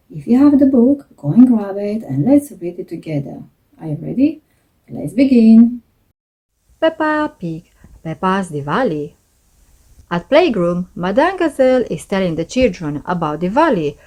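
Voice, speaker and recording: A woman's voice: medium pitch, warm tone, breathy edge, poor audio. A woman hosting a read-aloud for children, narrating a storybook expressively and speaking directly to young listeners. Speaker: host reading children's books aloud.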